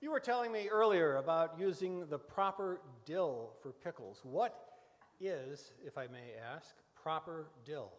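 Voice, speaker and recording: A man plays a genial radio host with a high-pitched voice, keeping an old-time variety show in order. He is heard in a big, reverberant hall. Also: expressive, unhurried. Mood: announcing, polite. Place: large church hall, live stage show.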